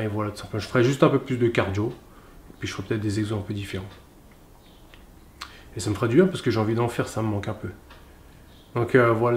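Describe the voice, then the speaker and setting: A man gives a relaxed, rambling recap of his workout in a gym, with many filler pauses. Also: mid-range voice, roomy sound, slight background noise. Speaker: fitness vlogger. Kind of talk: casual workout vlog recap.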